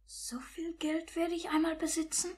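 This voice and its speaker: A young performer playing an innocent orphan boy in a 1950s German radio play, speaking softly and with wonder. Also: very high-pitched, flat delivery, normal speed. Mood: quiet wonder.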